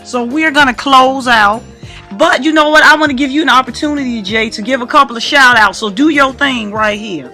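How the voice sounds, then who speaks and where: A woman's voice: high-pitched, brisk, bright, forceful tone, soft background music. A woman hosting a casual radio interview, talking in an effusive, encouraging way. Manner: effusive, encouraging, echoes the guest. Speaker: radio show host.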